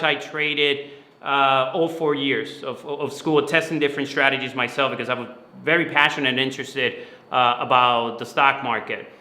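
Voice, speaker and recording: A man with a bright, forceful, slightly high voice explains himself earnestly in a conversational interview. He often doubles back on words as he lays out his points, and the room adds a clear echo. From Dominican Republic, moved to New York City at eleven. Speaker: guest, finance mentoring company founder. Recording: roomy, echoey sound.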